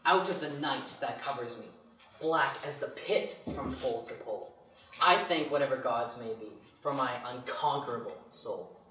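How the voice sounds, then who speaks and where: A man recites poetry with measured, declamatory phrasing. His voice has a medium pitch and comes from some distance, with strong room echo around it. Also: fairly bright tone. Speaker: poetry reader. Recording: echoey room, distant mic.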